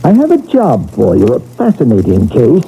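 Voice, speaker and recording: Mellow-voiced, earnest paranormal investigator. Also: male voice, medium pitch, wildly swooping pitch, normal speed, creaky, dull audio. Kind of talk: old-time radio mystery acting. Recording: noisy old radio broadcast recording.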